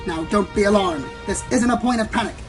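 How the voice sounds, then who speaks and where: A woman's voice: mid-range voice, brisk, natural voice. A woman playing a sensationalist pony news anchor in a radio play, theatrical and insistent. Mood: ominous, grandiose. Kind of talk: melodramatic newscast in an amateur radio play. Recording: music under the voice.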